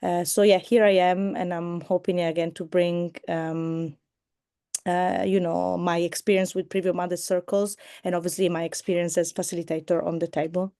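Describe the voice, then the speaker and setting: An Italian-accented woman speaks in a mellow, smooth voice that stays within a narrow pitch range. She talks in a casual, hesitant way, with frequent fillers as she looks for words. The sound is dry and close, as on a video call. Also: flat delivery, normal speed, no room sound. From Italy. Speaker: circle facilitator.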